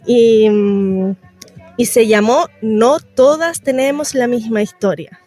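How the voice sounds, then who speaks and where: A woman's voice: fairly high pitch, slow pace, a little mellow, soft background music. A Chilean woman, a theatre performer, talking casually and in a meandering way as a guest in a radio interview.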